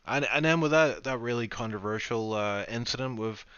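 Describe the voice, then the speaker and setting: A man's voice: medium pitch, moderate pace, fairly bright tone, clean tone, no room sound. A man hosting a racing league stream, chatting loosely and poking fun at himself. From United Kingdom. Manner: chatty, rambling, self-deprecating. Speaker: league race broadcast host. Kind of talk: casual post-race commentary. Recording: dull lo-fi stream audio.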